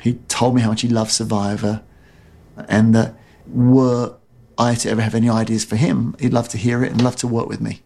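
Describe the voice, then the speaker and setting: A man's voice: mid-range voice, little pitch movement, normal speed, gravelly, mellow, slightly rough. A man, a television producer, recounting an anecdote in an interview.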